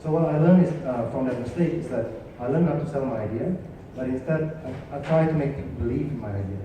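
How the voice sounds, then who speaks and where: A man's voice: medium pitch, quick pace, warm tone. Reflective man on a panel, echoey hall. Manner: reflective, with "um" and "you know". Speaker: panelist, company founder. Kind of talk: panel talk. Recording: echoey hall, distant mic, noisy.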